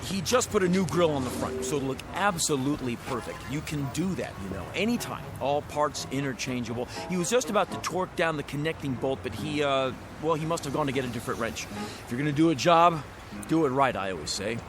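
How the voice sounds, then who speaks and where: Radio drama: actor as bombastic male car huckster, high voice, folksy, relentless wheedling. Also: expressive, fairly quick, over music, natural voice. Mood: smooth, cajoling. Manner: bombastic, wheedling, florid sales patter. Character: pushy, glib used-car salesman, a folksy fast-talking huckster.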